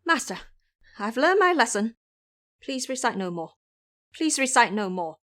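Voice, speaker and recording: A woman's voice: a little high, big pitch swings, a little slow, a bit bright, no echo, clean studio sound. Woman acting out a hot-tempered, pleading monkey disciple.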